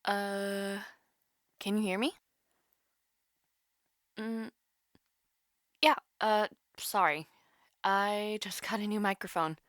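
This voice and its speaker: Casting: actress as nervous, playful young woman in intimate roleplay; bright voice, unhurried, halting delivery. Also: mid-range voice, fairly even intonation, dry recording, studio quality. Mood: awkward, self-conscious. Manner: hesitant, halting, teasing. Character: nervous, playful young woman calling her online crush. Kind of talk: intimate audio roleplay, one-sided call.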